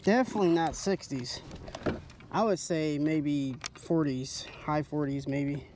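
A man's voice: a little high, steady tone, unhurried. A young man vlogging while kayak fishing on a windy lake, talking casually and rambling with fillers. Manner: laid-back, rambling, many fillers. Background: background music, wind and water.

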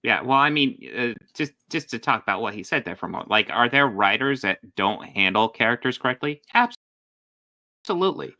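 A man's voice: mid-range voice, lively intonation, brisk, pushed and bright. A man co-hosting a casual livestream, talking in a wry, exasperated way from a home room. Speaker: podcast co-host.

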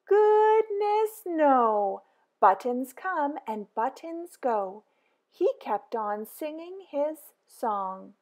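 A high-voiced woman reads a children's picture book aloud, very slowly and in a sing-song way. Her pitch leaps around, and she draws out big excited exclamations. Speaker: storybook reader.

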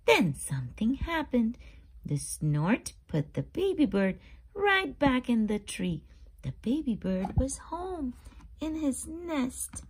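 A woman hosting a read-aloud for young children, narrating expressively and pausing to explain and ask simple questions. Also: high voice, big pitch swings, breathy edge, poor audio. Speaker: storybook reader and channel host.

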